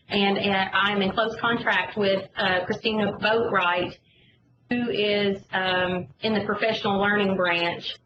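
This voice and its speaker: A woman giving an informal, halting work briefing on a conference call as an education staff member. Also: mid-range voice. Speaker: education agency staff member leading a rollout. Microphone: conference call line. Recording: muffled conference call line, clear background noise.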